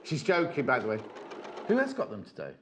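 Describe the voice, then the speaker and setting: A man's voice: mid-range voice, huge pitch swings, fairly quick, a bit bright, a little hiss or hum. A middle-aged man presenting a live shopping show from a TV craft studio, chatty and jokey, rambling between banter and sales patter. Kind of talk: live sales demo chat.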